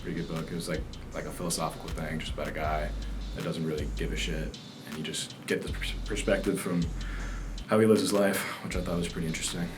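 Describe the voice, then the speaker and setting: A twenty-one-year-old man, a student, answering in a laid-back way full of "like"s, often trailing off quietly. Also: extremely low pitch, steady tone, quick pace, over music. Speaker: student interviewee. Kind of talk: casual street interview.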